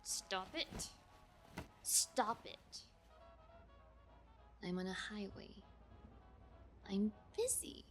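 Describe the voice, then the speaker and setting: A woman's voice: medium pitch, expressive, faint music behind. A woman playing a callous, playfully menacing kidnapper in an ASMR roleplay, talking in a taunting, nonchalant way. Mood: annoyed. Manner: taunting, nonchalant, slow and deliberate. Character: callous female yangire kidnapper, playfully menacing, bullying sociopath. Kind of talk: ASMR audio roleplay acting.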